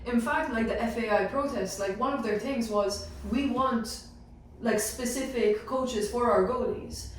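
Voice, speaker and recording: A woman's voice: fairly high pitch, unhurried. Woman chatting in a very echoey room. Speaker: sports media team member in panel discussion. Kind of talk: casual chat. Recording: very roomy, distant mic, faint noise.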